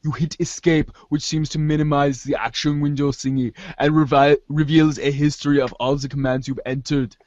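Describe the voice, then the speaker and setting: Higher-voiced man narrating a comic, dry sound. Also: little pitch movement, moderate pace, hardly any pauses, darkish tone, dry recording, lo-fi sound. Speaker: narrator of a reader's theater show. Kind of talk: dramatic scripted narration of a webcomic.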